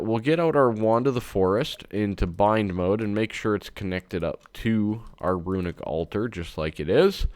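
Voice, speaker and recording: A congested, stuffed-up man talks through his game in a relaxed, chatty way, with plenty of ums as he thinks aloud. His voice sits low. The sound is close and dry, with no room around it. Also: no room sound. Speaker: let's-play host. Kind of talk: casual gameplay commentary.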